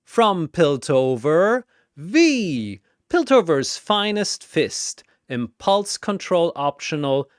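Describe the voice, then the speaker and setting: A man voicing a flamboyant, bombastic arena showman, proclaiming fighters in a drawn-out, theatrical announcer's style. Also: on the high side, sing-song, smooth, no room sound, studio quality, voice pushed up. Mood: booming, proclaiming.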